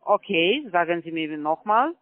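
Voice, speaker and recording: A man on a call-center line, his voice high and his delivery slow, careful and halting. He speaks German with non-native grammar and repeats everything back. The sound is tinny and dry, squeezed through a phone. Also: very animated, dry recording.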